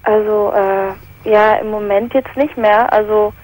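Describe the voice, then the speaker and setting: A woman's voice: medium pitch, fairly even intonation, measured pace, a little mellow, dry recording, low-quality recording. A young woman in her teens from England, calling in and telling a personal story hesitantly, searching for words. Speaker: caller. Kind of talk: hesitant personal account on a call-in show.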